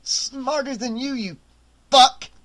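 A man doing a hot-headed young hotel waiter who refuses to back down. His voice rides high, and his replies come quick and heated. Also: expressive, a bit bright. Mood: strained. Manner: heated, defiant comebacks.

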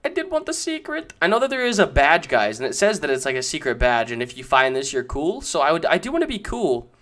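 A young man casually commenting on his own gameplay, chatty and excitable, talking to his viewers. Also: a little high, quick pace. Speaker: gaming YouTuber.

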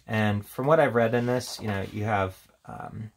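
A man with a lowish voice and a lot of vocal fry talks through a product in an off-the-cuff, rambling way. He sounds casually enthusiastic as he reviews, pausing and picking up again. There is faint noise behind him. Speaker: tabletop game reviewer. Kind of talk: casual unscripted product walkthrough. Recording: steady background noise, handling clicks.

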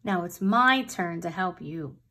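A woman voicing the wind as a calm, kindly male spirit who speaks reassuringly. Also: lowish voice, a little slow, heavily acted.

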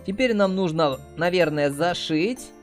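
A man with a high voice gives casual, chatty commentary as he plays mobile games. He talks straight to his viewers as their host. Light music plays behind his voice. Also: lively intonation, a little slow. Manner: chatty, uses cute diminutives. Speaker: host of mobile game playthroughs.

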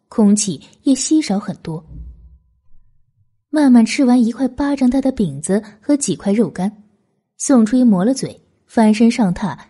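A woman narrates a novel from a script. Her voice sits a little high but is very soft and dark, with a breathy husk. She reads as an audiobook narrator.

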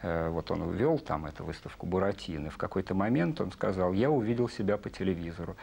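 A man's voice: sits low, fast talker, vocal fry, a little mellow, husky. A middle-aged man reminiscing in a documentary interview, with hesitant, broken phrasing. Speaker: film director and artist, interviewee. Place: indoor studio among film sets. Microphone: lapel.

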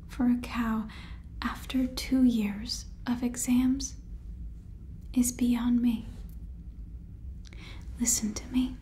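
Young woman doing a fed up lady boss on the phone, whispery asmr skit, mellow, some noise behind. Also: fairly high pitch. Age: young adult. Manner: hesitant, weary, insistent. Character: exasperated, stern female space-agency boss on the phone. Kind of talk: whispered ASMR sitcom roleplay.

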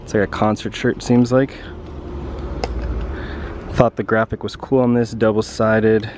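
A man's voice: on the low side, flat delivery, unhurried. Young man vlogging casually over background noise. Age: young adult. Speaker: vlogger and clothing reseller. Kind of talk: casual vlog chat. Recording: clear outdoor and vehicle noise.

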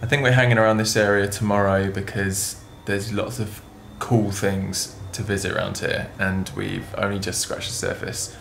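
A young man, talking excitedly to a handheld camera as a travel vlogger. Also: fairly low pitch, moderate pace, gravelly, few pauses, a little hiss or hum. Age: young adult. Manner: chatty, excitable, talks to camera. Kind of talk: casual vlog narration. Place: car, outdoors and hotel room. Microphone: camera held at arm's length.

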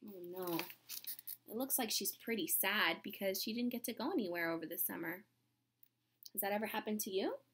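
A woman's voice: medium pitch, moderate pace, husky. A woman in her thirties reading a picture book aloud to children expressively, with dramatic emphasis and chatty asides. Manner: animated, dramatic emphasis, asking the young listeners questions. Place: small room indoors at home.

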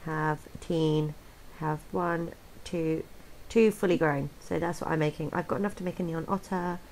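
Bubbly young woman with vocal fry. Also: sits low, steady tone, normal speed, a little mellow, slight background noise, rough recording. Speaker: co-host of a gaming channel. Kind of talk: casual gameplay chat.

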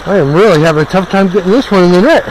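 Gravelly guy talking to himself while fishing, kinda high voice, loud river water noise behind him. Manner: muttering encouragement to himself, drawn-out exclamations. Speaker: angler filming his own fishing. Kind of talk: casual self-talk while fishing. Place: outdoors on a wooded river. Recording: noisy outdoor recording with river noise. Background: rushing river water.

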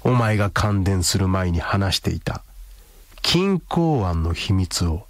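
A ruthless, sardonic schemer in a radio drama. He is commanding and dry-witted, with wide pitch movement and a close, dry studio sound. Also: very animated, takes it slowly, no echo, full character voice. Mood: coolly threatening. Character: cool, ruthless, sardonic man blackmailing a villain.

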